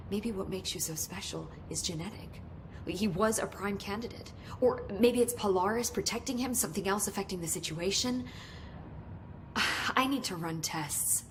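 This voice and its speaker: A woman playing an excitable lab scientist. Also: mid-range voice, slight background noise, lightly acted. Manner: brisk, gets carried away with enthusiasm. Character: excitable female research scientist.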